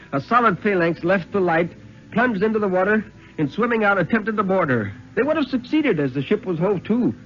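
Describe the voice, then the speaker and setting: A man playing a steely, haunted lighthouse keeper who recounts his ordeal with dramatic, measured urgency in a 1950s radio reading. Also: a little high, natural voice. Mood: grim, urgent. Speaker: story reader. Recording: dull vintage radio recording.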